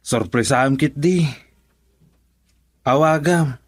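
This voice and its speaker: An actor with an Ilocano accent playing a friend who gives steady, persuasive advice in a radio drama. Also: male voice, fairly high pitch, slow pace. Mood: earnest. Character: friend giving advice, earnest man.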